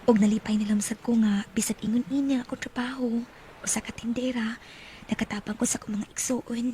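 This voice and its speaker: A woman's voice: on the high side, steady tone, a little fast, warm tone, a little hiss or hum, natural voice. A woman acting as an earnest, naive teenage working girl in a Bisaya radio drama, with a Cebuano accent and a plaintive manner. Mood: wistful, hushed.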